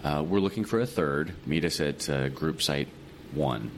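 A man co-hosting a podcast in casual chat, his voice a bit raspy from shouting, laid-back. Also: extremely low pitch, normal speed, slight background noise. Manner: relaxed, laid-back banter. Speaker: podcast co-host.

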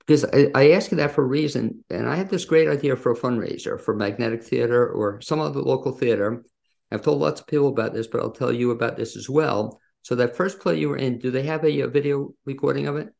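A male interviewer with a heavily creaky, gravelly voice. He talks quickly in a casual, conversational way as he puts questions to a guest. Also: mid-range voice, a little mellow. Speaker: interview host.

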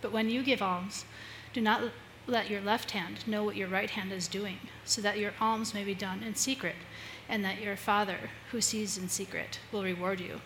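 A woman's voice: mid-range voice, fairly even intonation, moderate pace, fairly bright tone, poor audio. A woman reading a Bible passage aloud as a scripture reader. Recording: faint background ambient noise.

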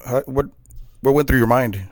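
A male podcast host with a mid-range voice chats easily and keeps agreeing with quick yeahs and wows. His voice sounds dry and close, with no room around it. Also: medium pitch, steady tone, darkish tone, no room sound. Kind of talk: casual interview and outro.

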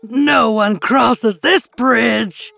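A woman voicing an enormous angry male troll who shouts gruff, forceful commands in a children's story. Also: a little high, extremely slow, dry recording, heavily acted. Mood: angry, menacing.

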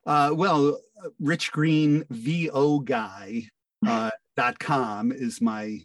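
A man's voice: medium pitch, steady tone, extremely slow, no room sound. Older man, slightly distant, chatting as guest. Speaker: voice actor, interview guest. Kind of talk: casual interview chat.

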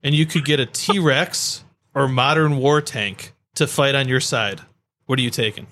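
A man's voice: medium pitch, a little slow, fairly bright tone. A man co-hosting a podcast, bantering casually in a joking, slangy way. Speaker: podcast co-host.

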